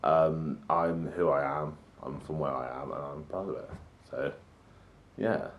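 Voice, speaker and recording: A man's voice: very deep voice, a little slow. A young man answering interview questions casually and candidly about his family.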